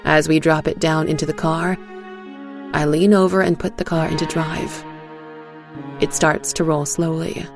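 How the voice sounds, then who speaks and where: A woman reading a first-person dramatic story in a suspenseful, deliberate way, as a scripted narrator. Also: low-pitched, level tone. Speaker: narrator and voice actor. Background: faint music.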